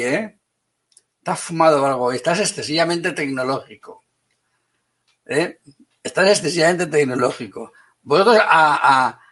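A man from Spain with a Spanish accent teaching an online class, brisk and teasing, calling on students by name. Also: fairly high pitch, a bit bright.

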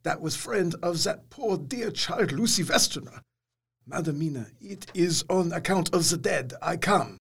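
Van Helsing, creaky-voiced courtly foreign doctor. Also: male voice, on the high side, lively intonation, exaggerated voice. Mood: grave, courteous. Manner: formal, halting, foreign-sounding syntax. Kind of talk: radio drama acting.

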